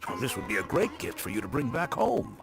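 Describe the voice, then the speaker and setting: Eager friendly merchant, super animated sales pitch, anime video game shop. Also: male voice, fairly quick, vocal fry. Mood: welcoming, salesy. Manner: welcoming sales patter. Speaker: shopkeeper. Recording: music playing behind the voice.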